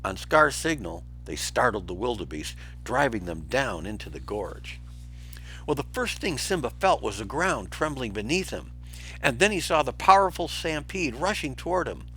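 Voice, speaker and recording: A man reads a children's story to young listeners with theatrical expression. His medium-pitched voice is bright and rises and falls dramatically. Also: big pitch swings, moderate pace, soft background music, poor audio. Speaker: storybook reader for schoolchildren.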